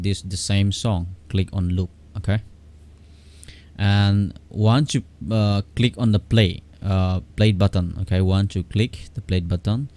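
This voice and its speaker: Deep-voiced man giving a halting software tutorial. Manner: halting, repetitive, keeps checking in. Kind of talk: casual screen-capture tutorial. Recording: faint background noise.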